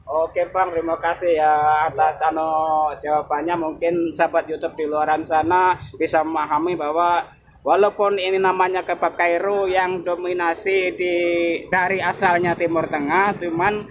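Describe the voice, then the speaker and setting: A chatty man with a high, bright voice, interviewing a vendor at an outdoor market. He keeps tagging his questions for agreement. Traffic and street noise run clearly behind him. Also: flat delivery, moderate pace. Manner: chatty, repeats tag questions. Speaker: YouTube street reporter.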